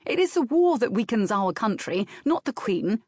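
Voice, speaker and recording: An earnest young gentleman, voiced by a woman narrator, outspoken and emphatic. The pitch sits raised and the recording is dry, as in a historical audiobook. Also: big pitch swings, normal speed, no echo. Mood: vehement. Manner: vehement, emphatic. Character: earnest, outspoken young man, loyal brother.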